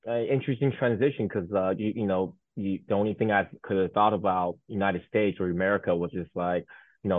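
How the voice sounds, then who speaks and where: A man's voice: low voice, no room sound. A man in his thirties from Taiwan, a native Mandarin speaker, chatting casually as an interview guest and rambling with many fillers and restarts. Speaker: guest, Paralympic sled hockey goaltender. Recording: tinny phone-like line.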